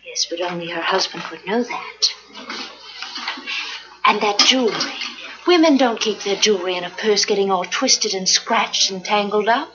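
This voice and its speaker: An actress playing a sharp, confident society woman, speaking brisk, emphatic classic Hollywood dialogue. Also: medium pitch, lightly acted. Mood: confident, insistent. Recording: dull old film soundtrack, loud noise.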